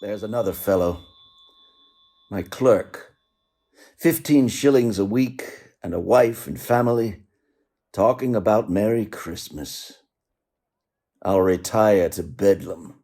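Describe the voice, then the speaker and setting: An actor playing a cold, bitter old miser in a radio play, curt and scornful. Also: male voice, on the low side, takes it slowly, long pauses, warm tone. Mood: cold, contemptuous. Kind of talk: theatrical radio play acting.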